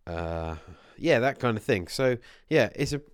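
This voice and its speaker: A man's voice: mid-range voice, lively intonation, unhurried, clean tone, no room sound, clean studio sound. A man vlogging with a cold and a fading voice, chatting casually to the camera and wandering off on tangents. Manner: meandering, with many restarts and asides. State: has a cold, losing his voice. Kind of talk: casual rambling chat to camera.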